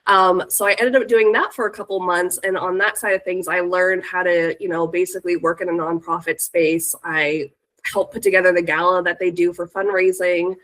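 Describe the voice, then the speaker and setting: A woman's voice: fairly low pitch, steady tone, normal speed, a bit bright, rough recording. An American woman in her thirties with a stuffy, congested voice from a cold, giving an informal, chatty talk about herself as a guest speaker. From Northern California, United States. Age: 30s. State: sick with a cold. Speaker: guest speaker, music industry professional.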